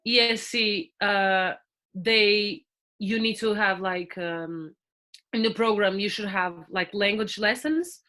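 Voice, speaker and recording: A young Portuguese woman in her twenties talks as a podcast guest, her accent plain and her voice bright and forward. She chats loosely, leaning on fillers and restarting her sentences. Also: mid-range voice, slow pace. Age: 20s. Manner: chatty, with frequent fillers and restarts. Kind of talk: casual podcast interview.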